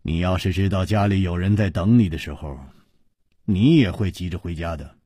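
Man as a calm, husky gentleman hero. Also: deep voice, moderate pace, no room sound, clean studio sound. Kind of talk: audiobook character voice.

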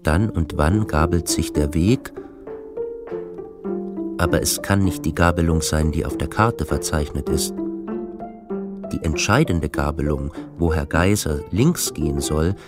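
A man narrating a literary radio play in a measured, matter-of-fact way. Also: a bass voice, over music.

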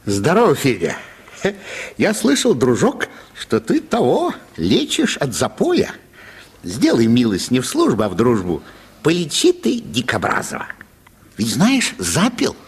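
An actor playing a histrionic, self-pitying theatre impresario in a radio play, declaiming and wailing in a raspy, creaky voice. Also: male voice, medium pitch, wildly swooping pitch, unhurried, heavily acted. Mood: pleading, coaxing. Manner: declamatory, wailing, breast-beating exclamations. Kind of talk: Russian radio play acting of a classic story.

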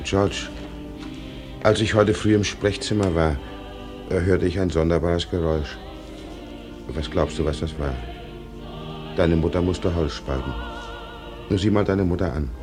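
An actor playing a middle-aged small-town doctor and father, measured and gently firm, in a radio drama. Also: deep voice, over music. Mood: gently chiding. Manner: measured, paternal, gently chiding. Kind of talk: German stage-play radio drama acting.

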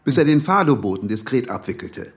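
A man's voice: mid-range voice, sing-song, brisk. A male actor playing a blunt, folksy older police chief in a radio crime drama, talking colloquially and bossily. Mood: explaining. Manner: colloquial, bossy, chummy. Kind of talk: naturalistic German radio crime drama acting.

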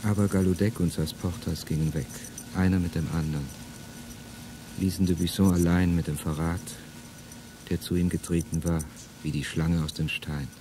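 A man's voice: deep voice, steady tone, normal speed, background noise, natural voice. A man playing a somber storyteller, delivering literary narration in a radio play. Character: somber male storyteller of a tale of betrayal.

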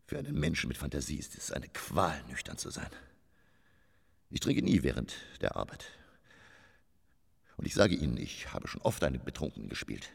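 An actor playing a vain, hard-drinking stage performer in a radio drama, pompous and declamatory, with a husky, gravelly voice. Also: male voice, rumbling low, wildly swooping pitch, normal speed, pauses often, heavily acted. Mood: boastful, brooding. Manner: declamatory, pompous, swinging from murmur to outburst. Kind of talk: German radio drama acting. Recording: long reverberant hall.

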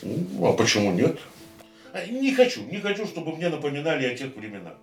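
A man's voice: a little high, big pitch swings, fairly quick. An actor in a radio drama playing a world-weary, brooding yet tender man, with theatrical intonation. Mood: brooding, bitter. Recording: roomy echoing radio studio, music underneath.

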